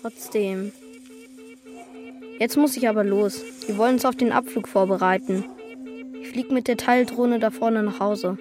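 Woman as child, high voice, informal, grumbly, attached, children's radio drama. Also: steady tone, measured pace, long pauses, music in the background, lightly acted. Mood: sulky, sad. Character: child about to emigrate to space, attached to dog.

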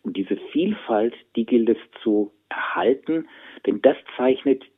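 A man giving expert interview answers in a deliberate, emphatic way, with a husky voice. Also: medium pitch, animated. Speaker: media historian giving an interview. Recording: tinny phone-like line.

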